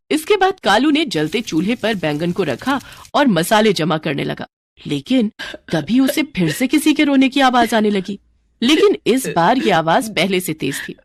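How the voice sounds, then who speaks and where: A woman narrating a scripted children's moral story as storyteller. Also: medium pitch.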